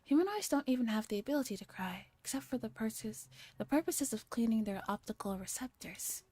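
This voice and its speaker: A soft-spoken woman streamer reading a visual novel, with gentle, teasing casual asides. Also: fairly high pitch, expressive, a little fast, no echo. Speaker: vtuber streamer.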